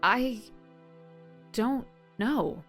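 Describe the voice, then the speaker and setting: A woman's voice: fairly high pitch, lively intonation, extremely slow, faint music behind. A woman playing an eager, earnest young new superhero in an audio drama. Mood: shaken, confused. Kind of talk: scripted audio drama acting.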